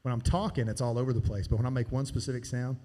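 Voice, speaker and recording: A man's voice: mid-range voice, steady tone, fast talker, warm tone. A man giving casual, hands-on training on a church sound board, talking while he demonstrates. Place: church sanctuary. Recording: long hall reverb from live church sound.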